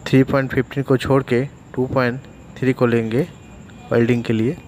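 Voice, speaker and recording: Flat-voiced man explaining welding. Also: medium pitch, fairly quick, a little hiss or hum. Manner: plain, steady, explains step by step. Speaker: welder demonstrating a technique. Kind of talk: casual how-to voice-over.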